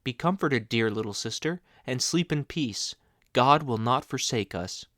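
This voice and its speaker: A man reading aloud as a brave young boy who calmly comforts his little sister. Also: mid-range voice, a little slow, dry recording, studio quality. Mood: gentle. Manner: calm, reassuring.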